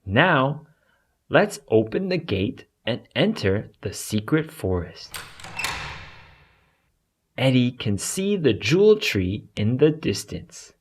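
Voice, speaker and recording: A narrator reading a scripted picture story aloud for young children, deliberately and in simple phrases with pauses. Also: mid-range voice, big pitch swings, breathy edge.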